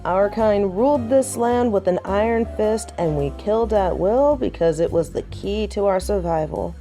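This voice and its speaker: A woman's voice: soft background music, lightly acted. A woman playing a jaded, centuries-old werewolf in an audio drama, brooding, proud and weary. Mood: bitterly nostalgic. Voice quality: low, dark and mellow. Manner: slow, measured, brooding. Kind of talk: dramatic audio roleplay acting.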